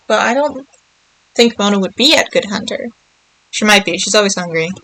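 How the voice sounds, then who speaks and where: Bright-voiced woman rambling on a game stream. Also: mid-range voice, expressive, moderate pace, low-quality recording. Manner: rambling, thinking aloud. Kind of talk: casual livestream chat while playing.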